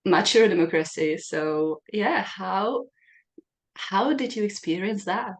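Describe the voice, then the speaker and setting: A woman's voice: mid-range voice, slow. Playful woman hosting. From Czech Republic. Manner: playful, laughs often. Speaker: podcast host. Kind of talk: casual interview chat.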